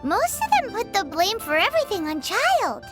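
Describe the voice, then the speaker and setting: Tiny fairy companion, extremely high childlike squeak, bouncy intonation, curious, blunt, video game dialogue. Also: female voice, huge pitch swings, moderate pace, full character voice. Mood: inquisitive. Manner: refers to self in third person, exclaiming. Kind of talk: scripted game cutscene dialogue. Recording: loud background music under dialogue.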